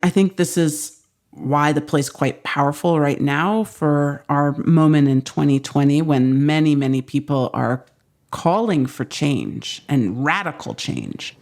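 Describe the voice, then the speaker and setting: Low-voiced, thoughtful woman scholar. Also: animated, a little slow, darkish tone. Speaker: Shakespeare scholar guest. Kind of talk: conversational academic commentary.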